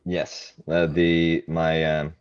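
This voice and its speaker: A man who grew up in Canada, talking in a podcast conversation in a halting way, with many ums and restarts. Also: a bass voice, completely flat delivery, clean tone, no room sound. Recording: dry, muffled lo-fi mic.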